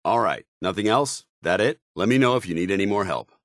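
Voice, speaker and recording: A low, dry-recorded male voice for a zealous armored paladin played by an actor in a video game. He is a stern, loyal soldier with clipped, commanding delivery. His pitch moves widely as he talks like a disciplined military companion. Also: expressive, fairly quick, dry recording, close to an everyday voice. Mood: calm, dutiful.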